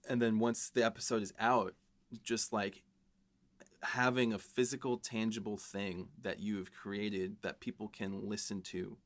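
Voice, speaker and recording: A man speaking on a podcast as a writer, talking casually in a measured, reflective way. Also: on the low side, flat delivery. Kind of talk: casual chat.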